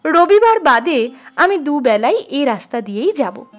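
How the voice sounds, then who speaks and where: Woman acting bashful young man, polite, affectionate, storybook dialogue, animated pitch, music underneath. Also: a little slow. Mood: earnest, hopeful. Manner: polite, eager, slightly shy. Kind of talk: dramatised storybook reading.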